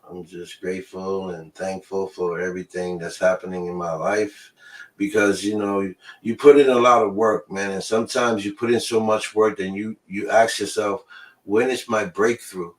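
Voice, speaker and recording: A man's voice: on the low side, recorded from a distance, poor audio. A man with a Boston accent in casual chat, earnest and full of fillers. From Boston area.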